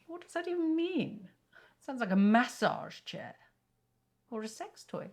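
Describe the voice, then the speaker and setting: A woman's voice: a little high, very animated, a little slow, darkish tone, exaggerated voice. An actress playing a tipsy, acid-tongued woman at a family quiz, scornful and dismissive in a biting, sardonic way. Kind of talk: naturalistic screen drama dialogue.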